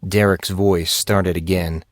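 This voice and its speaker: A man reading scripted narration for an audio drama in a steady storytelling voice. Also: sits low, takes it slowly, no room sound.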